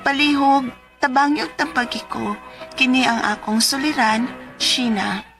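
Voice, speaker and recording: A woman's voice: sits high, steady tone, a little slow, music in the background. A woman acting an aggrieved, stubborn young woman in her twenties in a radio drama, with a Cebuano accent and an emphatic, insistent manner. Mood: determined, pleading. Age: 20s.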